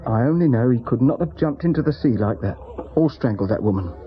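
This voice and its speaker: An actor playing a loyal, guarded friend who distrusts the police, in a radio drama, speaking firmly. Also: male voice, medium pitch, expressive, brisk, background noise, lightly acted. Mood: guarded, bitter. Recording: dull sound over chattering background crowd.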